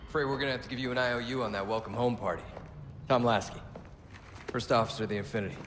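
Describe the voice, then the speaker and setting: A wry, easygoing military officer from a sci-fi game, played by a man, talking quickly. His voice echoes with a long tail amid clear background noise. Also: mid-range voice, fairly even intonation, close to an everyday voice. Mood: wry, relieved. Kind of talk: cinematic video game acting.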